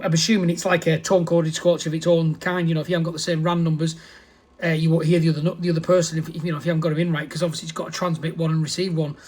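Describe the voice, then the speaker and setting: A man's voice: fairly high pitch, husky. A man talking casually as an amateur radio operator, matter-of-fact and rambling, often correcting himself.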